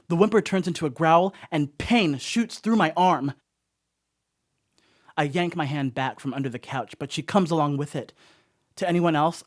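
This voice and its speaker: An actor playing a rattled, rambling house sitter in a radio drama monologue, conversational and self-excusing with emphatic swings. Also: male voice, on the high side, natural voice. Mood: panicked, intense. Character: rattled, rambling young male house sitter writing a confessional note. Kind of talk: dramatic first-person radio play monologue.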